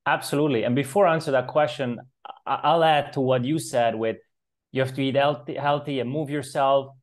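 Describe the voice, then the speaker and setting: A man's voice: mid-range voice, steady tone, fairly quick. A young man from Switzerland, a fitness coach, answering interview questions over a video call in an earnest, explanatory way. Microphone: video call webcam with earphones.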